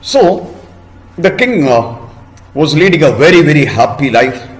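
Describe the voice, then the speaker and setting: A man telling a story to children in a teacherly way, pausing to ask questions and explain words. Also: a little high, expressive, echoey room, low-quality recording. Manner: didactic, asks listeners questions and explains words.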